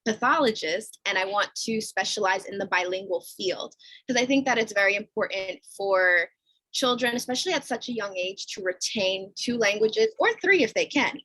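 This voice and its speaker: A woman's voice: medium pitch, moderate pace, a bit bright, poor audio. A young woman from New York City, chatty and informal, introducing herself as a guest author on a panel. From New York City, United States. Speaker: guest author and educational mentor.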